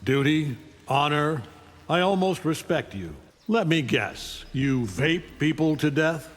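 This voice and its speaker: A man's voice: fairly high pitch, lively intonation, slow pace, in a large hall. A man voicing an arrogant, menacing alien conqueror in a video game, with a contemptuous, commanding manner. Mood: taunting. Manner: contemptuous, taunting, coldly commanding. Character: arrogant, menacing alien conqueror, a middle-aged superhuman father. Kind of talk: video game voice acting, fight intro taunts.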